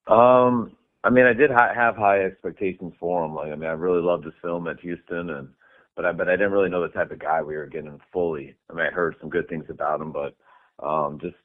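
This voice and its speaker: Very deep-voiced coach on a phone line. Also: male voice, brisk. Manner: relaxed, many "um" and "I mean" fillers. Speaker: head coach. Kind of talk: answering press questions on a conference call.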